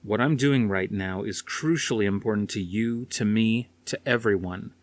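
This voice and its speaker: A man's voice: lowish voice, moderate pace, no room sound, close to an everyday voice. A man voicing a cool, exasperated career man with a controlled, dismissive manner in an audiobook. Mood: cool, impatient.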